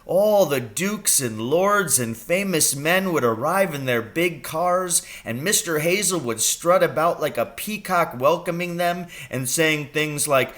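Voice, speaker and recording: A man voicing a scheming, excitable father from a children's storybook, with a theatrical, intense delivery. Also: a little high, unhurried, hardly any pauses, voice pushed up. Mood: gleeful, grand. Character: scheming, excitable father, conspiratorial poacher with a grudge. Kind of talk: children's audiobook character reading.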